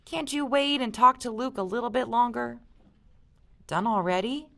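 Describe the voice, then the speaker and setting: A woman reading aloud as a gentle, protective mother of three sons, mild and soothing. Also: fairly high pitch, moderate pace, vocal fry, voice pushed up. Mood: concerned.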